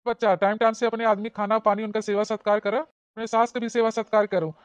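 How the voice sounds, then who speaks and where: Fast-talking Awadhi village holy man, played by a woman. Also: fairly high pitch, little pitch movement, dry recording, natural voice. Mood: instructive, fatherly. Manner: repetitive, insistent instructing. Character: advice-giving male village holy man, fatherly and instructive. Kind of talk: cartoon comedy dialogue.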